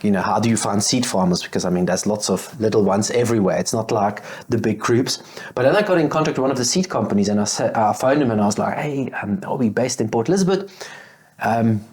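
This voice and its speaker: A man's voice: mid-range voice, darkish tone. A man in his thirties, a guest on a podcast, chatting casually about his beekeeping business and acting out old conversations with lots of fillers. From Belgium. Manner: chatty, acts out past conversations with many fillers. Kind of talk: casual interview storytelling.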